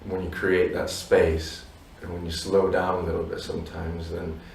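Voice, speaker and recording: A man in his thirties with Polish roots, a musician answering interview questions in a relaxed, reflective way with many "you know"s. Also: low-pitched, normal speed, vocal fry, a little hiss or hum. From abroad, Polish parents. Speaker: musician guest. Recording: roomy echo from a distance.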